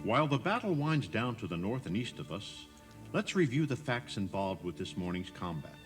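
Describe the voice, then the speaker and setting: A man's voice: lowish voice, sing-song, moderate pace, over music. A man narrating a scripted audio tour, explaining things directly to the listener.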